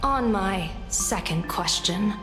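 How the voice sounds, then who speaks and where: A woman's voice: mid-range voice, expressive, slow pace. An actress playing an inquisitive, self-assured female seer in a video game, articulate, formal and probing. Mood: probing, assertive. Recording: echoey hall with music behind.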